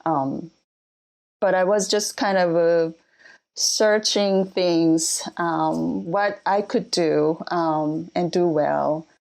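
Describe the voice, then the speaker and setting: Korean-born woman, low voice, unhurried. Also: steady tone, creaky, darkish tone. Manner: thoughtful, many pauses and fillers, light laughs. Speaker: guest lighting designer. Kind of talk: casual interview.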